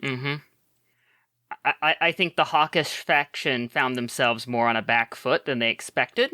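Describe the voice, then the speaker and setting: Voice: mid-range voice, fairly even intonation, a little slow, sharp tone, dry recording, clean studio sound. A player in a tabletop game chatting casually, thinking aloud with many fillers and laughs. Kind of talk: casual chat while playing a tabletop game.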